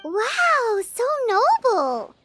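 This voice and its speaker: Game casting: childlike fairy sidekick, piercingly high, chirpy, very animated. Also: female voice, drawn-out words, soft background music, heavily acted. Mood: amazed. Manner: chirpy, refers to self in third person. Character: small, excitable, childlike fairy girl companion. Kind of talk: video game voice acting.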